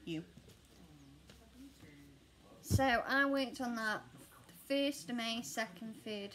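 Woman, thirties, slightly croaky, slow unhurried talk, higher voice, chatty home vlog. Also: fairly bright tone, slight background noise. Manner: chatty, rambling, self-deprecating. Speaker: cross-stitch vlogger. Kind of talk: casual chat showing stitching projects. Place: living room at home, seated on a sofa.

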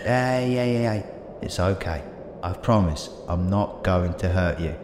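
An eccentric, reassuring time-travelling hero acted by a man in a sci-fi audio drama. His low voice muses earnestly through echo and loud background noise. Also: unhurried. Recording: echoey amateur audio drama, very noisy background.

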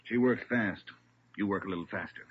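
Man as a low, grim police captain. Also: brisk, muffled recording, loud music behind the voice, close to an everyday voice. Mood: grim, brisk. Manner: authoritative, clipped, factual. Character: brisk, grim male police captain giving orders. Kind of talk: vintage radio police drama. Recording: thin, hissy old radio recording.

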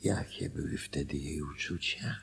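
An actor playing a self-deceiving husband in a Polish radio drama, thinking aloud, hesitant and anxious to justify himself. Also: male voice, extremely low pitch, expressive, a little slow, creaky, a bit bright, a little hiss or hum, heavily acted.

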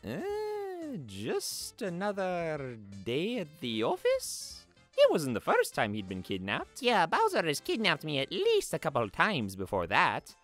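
Casting: male actor for chipper game-hero plumber hosting a cartoon show; bright, very lively, teasing. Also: fairly high pitch, a little slow, faint music behind, full character voice. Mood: puzzled. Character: cheerful, teasing video-game hero plumber, adult man. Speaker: show host. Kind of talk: cartoon character fan dub.

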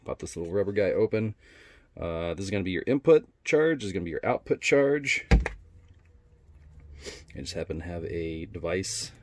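Low-voiced man narrating a casual unboxing. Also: animated, normal speed, pauses often. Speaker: product reviewer. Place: indoors at a wooden workbench.

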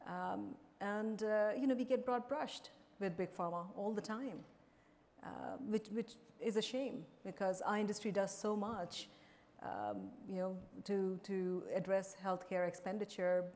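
A woman's voice: medium pitch, fairly even intonation, a little mellow. Middle-aged woman from India, executive panelist, lapel mic on a big stage, long hall reverb. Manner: measured, with frequent fillers and restarts. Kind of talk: conference panel talk. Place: large conference stage.

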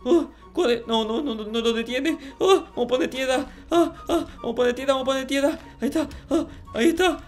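A performer playing a naive, stubborn, suspicious little kid in an improvised Minecraft roleplay, whiny and stammering, repeating words. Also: high voice. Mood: panicked, pleading. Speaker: gaming video host. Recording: music under the voice. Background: music.

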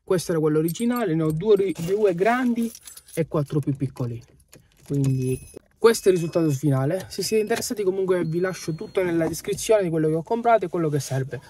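A young man giving a relaxed update from inside a parked car, talking close to a handheld phone and holding parts up to it. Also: sits high, quick pace, slight background noise. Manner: relaxed, shows parts to camera. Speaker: home gym vlogger. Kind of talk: casual update vlog. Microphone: phone held close.

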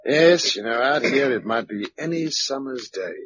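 A blunt, cheeky Englishman getting by after disaster in a radio play. He has a mid-pitched, very animated voice, heard on a slightly muffled recording. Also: moderate pace, husky. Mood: chatty, wry. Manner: blunt, chatty, colloquial. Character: blunt, cheeky, streetwise English man, a survivor. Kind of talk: radio drama acting.

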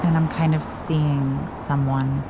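An actress playing a kind, nerdy young assistant in an audio drama, casual, hesitant and teasing. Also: female voice, low voice, little pitch movement, slow pace, very noisy. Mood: awkward, reassuring. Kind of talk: naturalistic audio drama acting.

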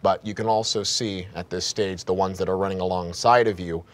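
A man, narrating a tabletop horror game as game master in a halting, dramatic, descriptive way. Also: sits low, steady tone. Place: indoor studio set.

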